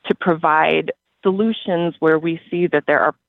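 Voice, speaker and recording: Creaky American voice, slightly high, muffled recording. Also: male voice, steady tone, moderate pace, no echo. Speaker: library system staffer being interviewed. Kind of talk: conversational interview answers.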